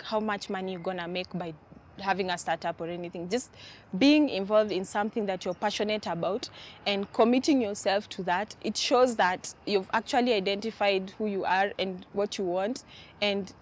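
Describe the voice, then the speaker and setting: A young woman in her twenties talks in an interview about building a startup she cares about. She speaks earnestly and searches for words as she goes. Her voice sits at a middle pitch. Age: 20s. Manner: earnest, thinking aloud with fillers. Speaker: startup founder.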